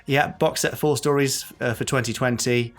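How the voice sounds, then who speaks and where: A man's voice: medium pitch, fairly even intonation, normal speed. A man co-hosting a podcast, casually chatting through news items. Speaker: podcast co-host.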